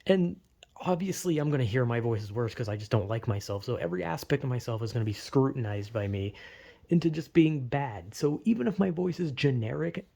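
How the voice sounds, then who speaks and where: A man's voice: medium pitch, animated, a little fast, vocal fry, dark tone. A young man vlogging in a rambling, self-deprecating way, full of "like" fillers, with a slight lisp. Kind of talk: casual rambling monologue.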